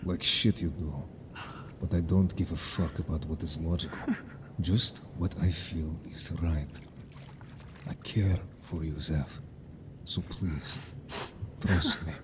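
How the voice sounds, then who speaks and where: A man's voice: low-pitched, fairly even intonation, takes it slowly, noisy background. A man playing a stern but caring, protective merman in a fantasy audio drama, speaking firmly and formally. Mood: firm, tender. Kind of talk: scripted audio drama acting.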